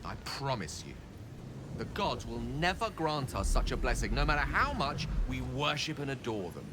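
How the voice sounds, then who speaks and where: An actor playing an ambitious, earnest wizard scholar in a video game, eloquent, persuasive and grandiose. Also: male voice, mid-range voice, sing-song, moderate pace, noisy background, close to an everyday voice. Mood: fervent longing.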